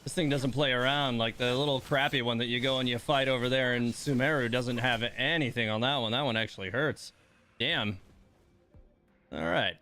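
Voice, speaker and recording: A man with a mid-pitched voice commentates casually over his own gameplay on a livestream. He mutters to himself and grumbles, dropping mild swear words as he goes. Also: moderate pace, pushed and bright. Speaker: livestreamer playing a game.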